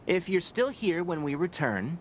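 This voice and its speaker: An actor playing a calm, scholarly young forest ranger in a video game, measured and patient as he explains. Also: high voice, slight background noise. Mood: coaxing. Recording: game audio with clear ambience.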